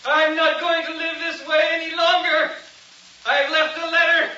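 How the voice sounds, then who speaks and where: A desperate assistant in old radio melodrama, crying out in a very high, piercing voice. He sounds distant and echoing on a muffled recording. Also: little pitch movement, moderate pace, bright, forceful tone, full character voice. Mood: desperate shouting. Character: desperate, distraught male lab assistant crying out from afar. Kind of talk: vintage radio melodrama acting.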